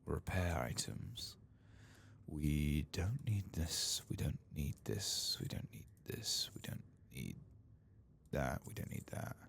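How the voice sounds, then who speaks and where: Male ASMR gamer, very low creaky voice, soft-spoken to whispered, muttering to himself, faint background noise. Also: animated, slow. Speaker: host of an ASMR game playthrough.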